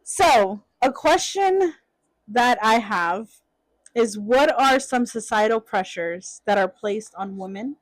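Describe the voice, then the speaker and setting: A woman co-hosting a casual podcast, talking animatedly and jumping up in pitch when she acts out other people. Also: slow, dry recording, low-quality recording. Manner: animated, voices quoted people with big pitch jumps. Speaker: podcast co-host.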